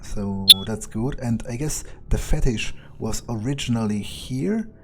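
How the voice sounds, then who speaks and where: A man thinking aloud in character as he plays a video game, muttering deliberately and swearing now and then. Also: mid-range voice. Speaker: gamer doing an in-character playthrough.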